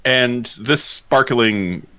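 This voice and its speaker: A man playing a tabletop game, asking halting, hesitant questions in casual chat. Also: mid-range voice, bright, forceful tone, clean tone. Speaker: player.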